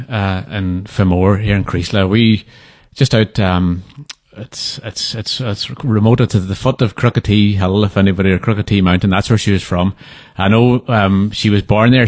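A man talks in a fairly low voice, stopping and restarting often as he recalls details. The sound is close and dry, with little room around it. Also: moderate pace, no echo. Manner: hesitant, many restarts and fillers. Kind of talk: casual interview answers.

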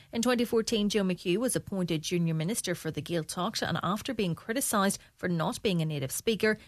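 A woman with an Irish accent presents news from a script. Her voice sits on the low side, recorded close and dry in a studio. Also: no echo.

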